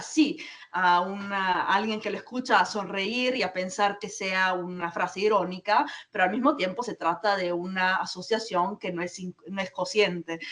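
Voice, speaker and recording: Halting woman presenting her research. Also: medium pitch, fairly bright tone, recorded from a distance. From Peru. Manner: halting, many fillers and restarts. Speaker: academic presenter. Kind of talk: conference talk.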